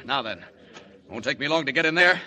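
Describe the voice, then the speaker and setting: A man's voice: mid-range voice, animated, fairly quick, bright, forceful tone. A man playing a bold, righteous, confident superhero who commands, in 1940s radio serial style. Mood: determined. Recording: noisy old radio serial recording.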